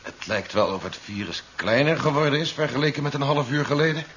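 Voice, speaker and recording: An actor playing a cautious, orderly military man in a 1970s radio play, talking in a measured, practical way. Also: mid-range voice, fairly bright tone, lightly acted. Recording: muffled vintage radio recording, faint noise.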